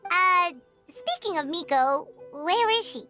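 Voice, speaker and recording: A woman voicing a small, perky, childlike fairy companion in a video game, chatty, curious and expressive. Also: extremely high pitch, measured pace, full character voice. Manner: expressive, casual, exclamatory. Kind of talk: video game character acting. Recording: loud music behind the voice. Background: game music.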